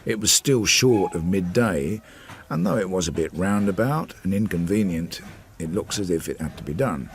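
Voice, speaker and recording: An actor playing a Victorian police sergeant who narrates his inquiry in a measured, wry, literary way in a radio drama. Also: low voice, expressive.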